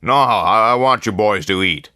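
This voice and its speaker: A man voicing a loud, jovial, nervous male newspaper boss who talks emphatically. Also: fairly low pitch, lively intonation, normal speed, dry recording, studio quality, voice pushed up. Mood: hearty.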